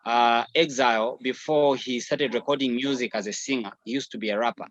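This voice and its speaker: A man in his thirties from Zambia, a musician talking reflectively in a remote interview, filling pauses with "you know". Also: medium pitch, steady tone, fairly bright tone, dry recording, dull audio. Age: 30s. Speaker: musician guest. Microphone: video or phone call.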